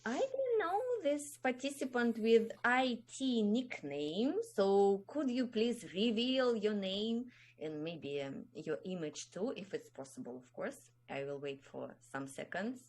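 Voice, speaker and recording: A woman's voice: medium pitch, animated, measured pace, few pauses, a little mellow. A woman hosting an online video meeting, politely asking participants to introduce themselves, with frequent fillers. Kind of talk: casual chat. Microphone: video call.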